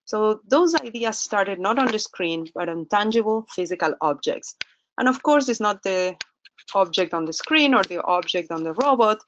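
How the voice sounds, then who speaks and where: A woman's voice: medium pitch, animated, moderate pace, a little hiss or hum. A woman from Argentina in her fifties, with an Argentine accent, giving a lecture over slides as a professor, talking in a conversational way that asks the audience questions, with some hesitations. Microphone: computer microphone in an online webinar. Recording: slightly muffled webinar audio.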